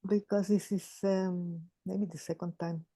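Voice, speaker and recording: A woman, sharing in a group call in a halting, thoughtful way with many restarts. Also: on the low side, flat delivery, mellow, no room sound. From Chile. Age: 80s. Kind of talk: casual sharing on a video call.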